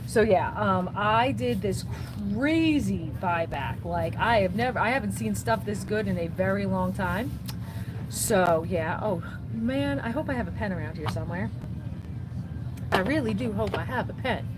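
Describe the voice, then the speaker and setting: A woman's voice: mid-range voice, animated, moderate pace. A woman who runs a record store, chatting casually on a livestream and reading out viewer comments in a chatty, upbeat way. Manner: chatty and upbeat, often saying "so yeah". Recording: noisy background.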